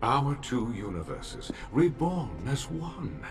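An actor playing a solemn, scheming demon lord in a video game cutscene, speaking in a grandiose, theatrical way. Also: male voice, medium pitch, very animated, slow, over music.

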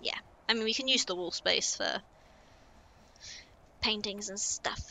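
A woman's voice: mid-range voice, measured pace, creaky, sharp tone. A young woman, chatting casually to herself while playing a building game on stream. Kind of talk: casual gameplay commentary.

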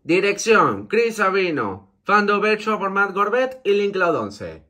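A man's voice: high-pitched, very animated, slow, pushed and bright. A man reading out scripted cast credits as the announcer of a fan dub. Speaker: fandub announcer.

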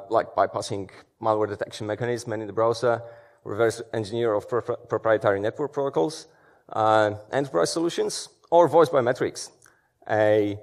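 Man, thirties, relaxed stage presenter who talks through restarts. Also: lowish voice. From Poland. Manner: conversational, many fillers and restarts. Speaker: security conference speaker. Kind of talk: conference talk. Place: large dark auditorium stage.

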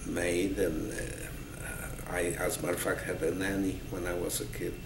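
A man's voice: low voice, flat delivery, unhurried. A man in his eighties from Poland with a Polish accent, recalling his life as an oral history interviewee. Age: 80s. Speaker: interviewee, Holocaust survivor. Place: small office indoors, seated. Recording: roomy, noisy, distant microphone.

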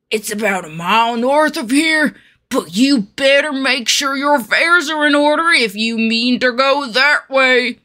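A woman's voice: high-pitched, pushed and bright, exaggerated voice. A woman voicing a cranky, scolding old man in a fantasy game character demo. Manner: grumbling, scolding. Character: cranky old man guarding his fields and petunias.

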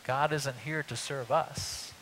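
A middle-aged man preaching a sermon on a church stage, talking in a conversational way with lively emphasis. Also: medium pitch, slow pace, poor audio. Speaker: pastor. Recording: faint hiss.

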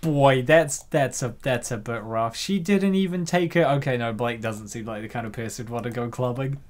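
A man's voice: mid-range voice, moderate pace. A man hosting with casual, chatty reaction commentary, rambling and jokey. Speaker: host of comic dub channel.

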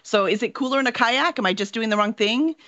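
A middle-aged woman with an American accent hosting a casual interview in an animated, informal way. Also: on the high side, fast talker. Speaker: interview host. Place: home room with bookshelves, indoors. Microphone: video call. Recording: dull, muffled video-call audio.

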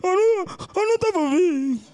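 A man's voice: very high-pitched, animated, brisk, a little mellow. A young man with an Angolan Portuguese accent doing stand-up storytelling, acting out characters on a club stage. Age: young adult. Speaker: stand-up comedian. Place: comedy club stage with audience. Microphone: handheld microphone.

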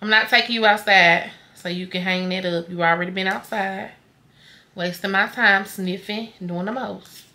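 A woman's voice: mid-range voice, fairly even intonation, normal speed, bright, forceful tone. Tired rambling young woman vlogger. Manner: tired, rambling, talks to camera and her dog. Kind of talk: casual vlog chat. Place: home at night.

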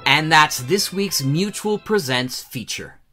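A male podcast announcer reads a scripted outro. His voice is bright and forceful, pitched a bit high, with very lively intonation. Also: slow, faint music behind.

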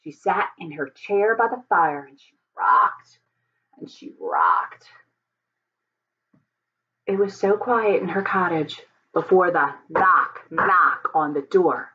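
A middle-aged woman reads a children's story aloud. Her pitch moves up and down in a lively way, and she takes her time with deliberate, dramatic pauses. The webcam recording sounds a little dull. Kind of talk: expressive picture-book read-aloud. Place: home office, indoors.

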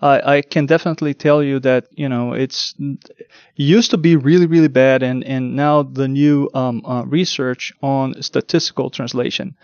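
A man whose first language is Portuguese explains his work in an unhurried interview, pausing on ums and repeating words. His voice has a gravelly edge. The sound is dry and a bit muffled, as if lo-fi. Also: mid-range voice, fairly even intonation, darkish tone, no room sound. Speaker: guest, translation test lead. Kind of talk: technical interview.

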